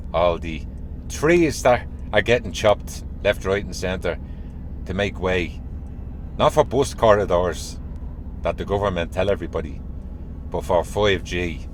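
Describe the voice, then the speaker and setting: Hoarse Dublin man, sore throat. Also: low voice, a little hiss or hum. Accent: Irish, Dublin. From Ireland. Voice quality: conspiratorial, teasing, repeats phrases. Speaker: channel host. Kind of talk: casual monologue to camera.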